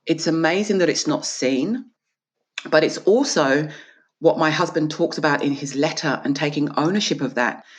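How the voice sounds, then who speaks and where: A woman's voice: low voice, normal speed. A woman from Australia, an author and guest, talking earnestly and with emphasis in an interview and acting out small dialogues. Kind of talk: reflective interview conversation.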